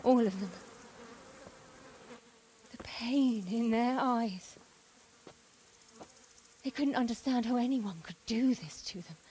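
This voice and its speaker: A woman playing a grieving medieval widow in a dramatic video game scene, halting and anguished. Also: fairly high pitch, dark tone, slight background noise. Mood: sorrowful.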